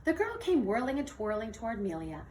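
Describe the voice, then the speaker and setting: A woman teacher reading a children's picture book aloud in an expressive, theatrical storytelling manner. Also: on the high side, fairly quick. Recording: distant mic with faint noise.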